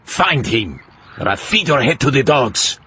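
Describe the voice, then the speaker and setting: An actor playing a ruthless, commanding older Bolivian general turned dictator in an audiobook drama. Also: male voice, medium pitch, sing-song, unhurried, sharp tone, a little hiss or hum. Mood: greedy menace. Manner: commanding, imperious.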